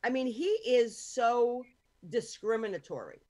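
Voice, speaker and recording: A woman's voice: on the high side, expressive, measured pace, darkish tone. A middle-aged woman from the United States joins a talk show as a guest over a video call. She speaks earnestly and hesitantly, feeling her way through her points with plenty of fillers. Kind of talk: casual interview chat. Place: small room at home.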